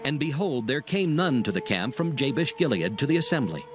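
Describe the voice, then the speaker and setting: A man's voice: mid-range voice, sing-song, fairly quick, over music. A man reading dramatized scripture as the narrator, in a scripted storytelling style.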